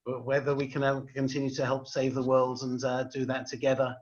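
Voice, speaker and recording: A man hosts an online event and thanks his guest politely, hesitating with many ums. He speaks in a flat, level tone at a middle pitch. The video call audio is dull and lo-fi. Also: brisk. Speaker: host, rabbi.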